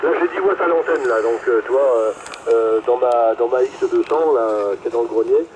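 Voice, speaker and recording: A man's voice: medium pitch, normal speed, darkish tone, a little hiss or hum, low-quality recording. A man chatting casually and hesitantly over two-way radio as a hobbyist, often repeating his words. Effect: two-way radio transmission. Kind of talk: casual amateur radio chat.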